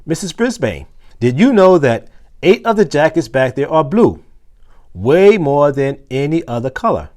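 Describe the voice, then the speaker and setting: A man voicing an eager, excitable schoolboy from a storybook in an earnest, exclaiming way. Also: a little high, measured pace, voice pushed up.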